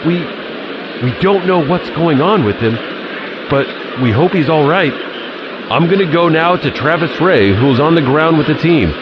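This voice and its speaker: An actor playing an earnest baseball radio announcer, calling the game live with measured phrasing and hesitant pauses. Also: male voice, fairly high pitch, expressive. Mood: shocked, worried. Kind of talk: live radio sports commentary. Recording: dull lo-fi sound, loud background noise.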